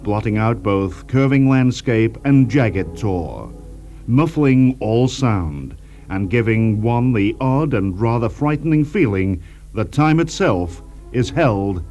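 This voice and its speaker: A man playing the atmospheric narrator of an eerie radio play, deliberate in delivery, on a 1970s archive recording. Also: medium pitch, lively intonation, a little mellow, lo-fi sound, soft background music. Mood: ominous, measured. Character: male scene-setting narrator of an eerie moorland drama.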